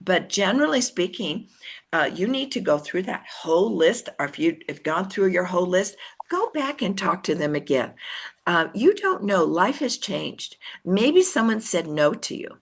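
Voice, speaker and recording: An older woman with a lowish, gravelly voice runs a sales training session. She talks in a chatty way and jumps into bright, animated voices when she acts out her chats with prospects. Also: moderate pace. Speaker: network marketing leader. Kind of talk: motivational training talk.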